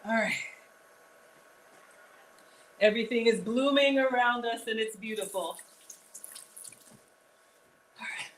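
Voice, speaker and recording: A woman's voice: mid-range voice, fairly bright tone, a little hiss or hum, poor audio. A woman hosting a live-streamed cooking class in a home kitchen, chatting straight to her viewers with casual asides. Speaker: cooking show host.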